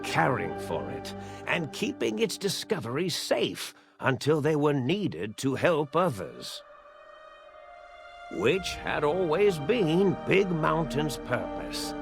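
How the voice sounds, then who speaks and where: A man narrating a video game cinematic as a grave storyteller, speaking solemnly and deliberately with weighty pauses. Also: a little high, vocal fry, full character voice. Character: grave storyteller recounting a post-war science legend. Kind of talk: scripted narration, measured storytelling. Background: music behind the voice.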